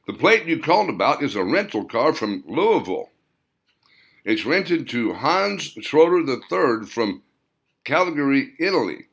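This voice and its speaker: A man's voice: mid-range voice, big pitch swings, unhurried, vocal fry, a bit bright, pitched above the performer's own voice, full character voice. A man voicing a police radio dispatcher who gives a matter-of-fact report. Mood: businesslike. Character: police radio dispatcher reading out a plate check.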